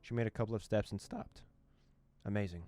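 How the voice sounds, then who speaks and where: A man reading a visual novel's text aloud as a streamer, with quick joking asides. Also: on the low side, steady tone, mellow. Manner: reads dialogue aloud, breaks off with joking asides and laughs. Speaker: gaming streamer. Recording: faint game music behind.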